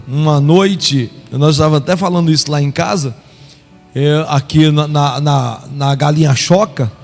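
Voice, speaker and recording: Impassioned preacher, reverberant hall. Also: male voice, fairly high pitch, a little mellow. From Brazil. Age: middle-aged. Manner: impassioned, exclaims aleluia often. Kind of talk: live sermon. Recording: reverberant hall with faint music.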